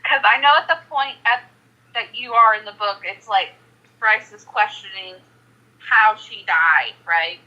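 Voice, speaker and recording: A woman's voice: medium pitch, measured pace, pushed and bright. A woman in a casual chat on a phone call, putting questions to a friend.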